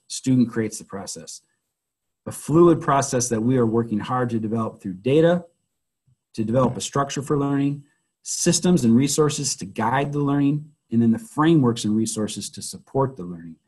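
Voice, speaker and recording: A man presenting a school webinar, hoarse and losing his voice, who often clears his throat and speaks haltingly with many fillers. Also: mid-range voice, darkish tone. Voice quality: husky.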